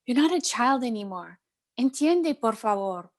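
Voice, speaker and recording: A woman's voice: fairly high pitch, expressive, moderate pace, darkish tone. A woman playing a caring but firm middle-aged Cuban aunt in a play table read, coaxing and mixing in Spanish endearments. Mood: exasperated.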